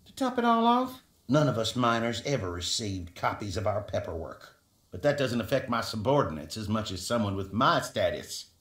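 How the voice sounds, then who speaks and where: An actor playing a smug, arrogant miner boss in a video game, talking down to the listener in a pompous, mocking way. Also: male voice, fairly low pitch, big pitch swings, moderate pace, a bit bright, echoey room. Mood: indignant, pompous. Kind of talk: video game character acting.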